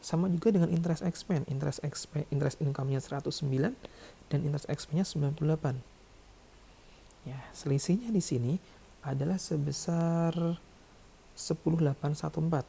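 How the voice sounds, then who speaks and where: Tutorial lecturer, very mellow and dark, gravelly edge, explains step by step. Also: medium pitch, brisk, long pauses, a little hiss or hum. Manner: didactic, frequent check-in tags. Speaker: accounting lecturer. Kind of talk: tutorial narration, working through figures aloud.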